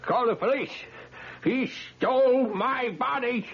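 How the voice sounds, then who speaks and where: A man's voice: extremely high pitch, takes it slowly, full character voice. A man acting a frightened, pleading man in an old-time radio mystery, stammering through plain, colloquial speech. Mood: bewildered, desperate. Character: frightened man named Jack, soul forced into an old body. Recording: dull, noisy vintage-style radio drama recording.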